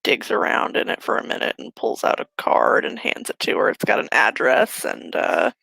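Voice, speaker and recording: A woman narrating a tabletop game as game master and chatting with players in an unhurried, plainspoken way. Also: a bass voice, wildly swooping pitch, vocal fry, a bit bright, breathy edge, no echo.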